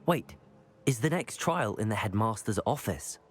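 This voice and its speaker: A man's voice: lowish voice, animated, moderate pace, husky. A young man voicing a polite, inquisitive wizard student in a fantasy video game. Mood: earnest. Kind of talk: scripted game dialogue.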